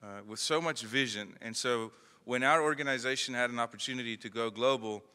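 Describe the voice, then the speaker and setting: A man's voice: medium pitch, brisk, bright, forceful tone, clear, resonant tone. A South African man, English his second language, giving a conversational keynote talk to an audience with self-deprecating humor. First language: not English. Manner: conversational, self-deprecating, acts out phone calls. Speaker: keynote speaker. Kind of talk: motivational speech to an audience. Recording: echoey hall.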